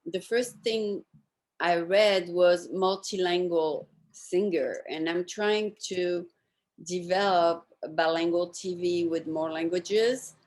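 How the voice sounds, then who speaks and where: Lady interviewer, slightly low voice, just chatting and asking questions casually. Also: slow pace, darkish tone. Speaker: interviewer, host.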